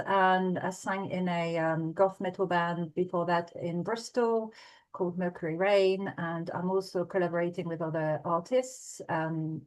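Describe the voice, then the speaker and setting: A woman's voice: lowish voice, rough recording. A woman from France with a French accent, a band's singer, talking casually with many hesitations. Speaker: band singer. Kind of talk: casual interview.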